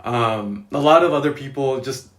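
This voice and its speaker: A man's voice: medium pitch, normal speed. A young man hosting a student podcast, asking questions in a hesitant way with lots of "kind of" and "like". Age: young adult. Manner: hesitant, many "kind of" and "like" fillers. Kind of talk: casual interview hosting. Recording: echoey room.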